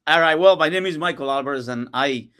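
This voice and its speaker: Cuban guy with a spanish accent, kinda high voice, earnest, restarts his sentences a lot, guest on a podcast. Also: quick pace, sharp tone. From Cuba. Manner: earnest, with frequent restarts and non-native grammar. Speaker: guest, real estate entrepreneur. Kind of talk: casual podcast interview. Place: podcast studio.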